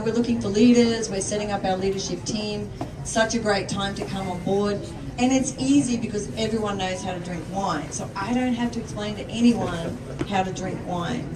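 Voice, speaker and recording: An Australian woman speaks with bubbly enthusiasm, chatting easily to a crowd. Her voice carries from a distance through an echoey hall with plenty of background noise. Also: medium pitch. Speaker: event speaker giving a testimony. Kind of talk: upbeat sales testimony on stage. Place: event venue with a crowd.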